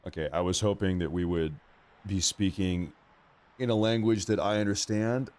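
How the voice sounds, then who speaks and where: A man's voice: sits low, fairly even intonation, normal speed, a little mellow. A man hosting a live stream, reacting informally and commenting on breaking news in a casual way.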